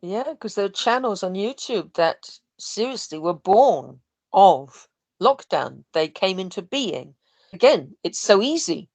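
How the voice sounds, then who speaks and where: A woman's voice: medium pitch, very animated, a little slow, darkish tone, dry recording. A woman chatting casually as a podcast co-host, explaining things in an articulate way. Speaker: podcast co-host, audio producer. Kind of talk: casual conversational chat.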